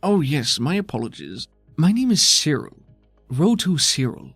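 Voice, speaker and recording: A man's voice: a little high, big pitch swings, husky, no room sound, soft background music, full character voice. A man voicing a polite, smug scorpion bureaucrat. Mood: smooth. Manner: polite, measured, quietly smug.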